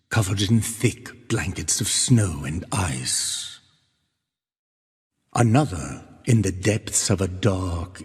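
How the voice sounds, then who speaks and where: An actor playing a solemn, devout penitent who narrates and bears witness in archaic, measured speech in a video game. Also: male voice, sits low, darkish tone, husky, exaggerated voice. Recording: long cavernous reverb.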